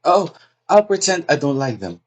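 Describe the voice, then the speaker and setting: A timid young society daughter acted by a woman, dutiful and placating. Her voice sits low for a woman, with a meek, reasonable delivery suited to a stage comedy. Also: very animated, moderate pace, husky, full character voice. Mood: obliging. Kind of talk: comic stage-play acting.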